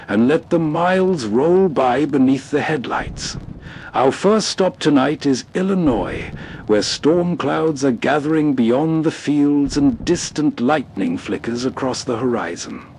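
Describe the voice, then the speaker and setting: A man's voice: a little high, expressive, a little slow, a little mellow. A man hosting with scripted, atmospheric narration. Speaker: host. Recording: faint background noise.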